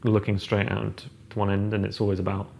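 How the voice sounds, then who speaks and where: Young man, low and gravelly, loose rambling talk, architect giving a home tour. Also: fast. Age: young adult. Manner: relaxed, rambling, many fillers and restarts. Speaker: architect guest. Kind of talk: casual chat, house tour. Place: large open-plan house living room, indoors.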